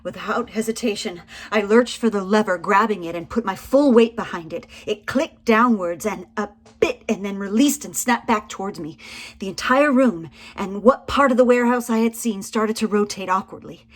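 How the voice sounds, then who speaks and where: A woman's voice: mid-range voice, normal speed. A woman reading a first-person story aloud in a dramatic, suspenseful and theatrical manner. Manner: suspenseful, theatrical, swinging from hushed to forceful. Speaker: reader of a written memoir.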